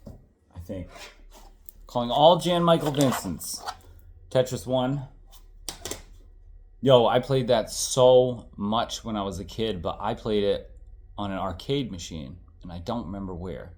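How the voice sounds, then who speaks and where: A man's voice: lowish voice, a little slow, pauses often, a little mellow. A man in his thirties, congested and tired, chatting casually and rambling as he shows off his old game collection, correcting himself and swearing now and then.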